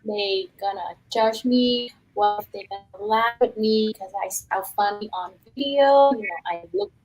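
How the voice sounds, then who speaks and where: A woman's voice: medium pitch, unhurried, a little mellow, poor audio. A woman from Vietnam, speaking reflectively in a casual online business chat, with frequent fillers. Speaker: co-host.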